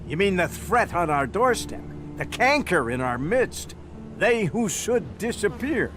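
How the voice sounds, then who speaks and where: A man's voice: high-pitched, sing-song, a little slow, noisy background. A man playing an officious, grudging city guard in a video game, with a pompous, theatrical delivery. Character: officious, grudging, self-important male city gate guard. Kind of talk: video game dialogue acting.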